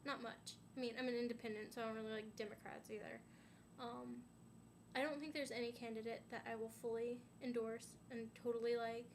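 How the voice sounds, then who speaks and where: A woman's voice: fairly high pitch, little pitch movement, fairly quick, slight background noise. A young woman chatting to her camera in a rambling way, full of "um"s and "like"s, a little stuffy and sniffly from a sinus infection. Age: young adult. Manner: rambling, many "um"s and "like"s. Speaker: vlogger. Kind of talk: casual chat to camera.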